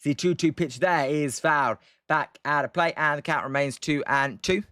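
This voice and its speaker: A man's voice: on the high side, normal speed, pushed and bright, dry recording, clean studio sound. A young British man hosting a live stream, giving casual game commentary between chat replies, rambling with lots of ums and uhs. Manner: rambling, hesitant, heavy on um and uh.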